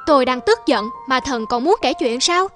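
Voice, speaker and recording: A woman's voice: high voice, animated, normal speed, over music. A woman voicing an angry, vengeful young goddess in a cartoon, indignant and scolding. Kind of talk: animated cartoon dubbing.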